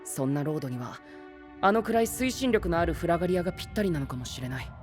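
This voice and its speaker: An actor playing a courteous, formal young knight who explains things in an anime-style audio drama. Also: male voice, on the high side, animated, quick pace, long reverb tail, soft background music, lightly acted. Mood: thoughtful. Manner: courteous, peppered with English exclamations.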